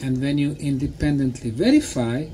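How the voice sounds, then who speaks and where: A man lecturing in short, emphatic phrases, pausing for an interpreter, with a deliberate, didactic manner. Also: mid-range voice, big pitch swings, background noise. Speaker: lecturer and guide.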